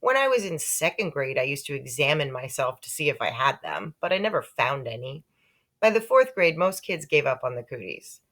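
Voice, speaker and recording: A woman reading a children's story aloud in an expressive, animated way. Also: rumbling low, normal speed. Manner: animated, with character voices for dialogue. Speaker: audiobook-style reader.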